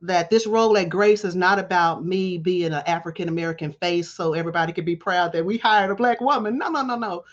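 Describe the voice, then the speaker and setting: A woman's voice: lowish voice, brisk, hardly any pauses, lo-fi sound. A woman in her forties, a college dean from Texas, giving reflective testimony in an interview with emphatic, preachy phrasing. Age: 40s.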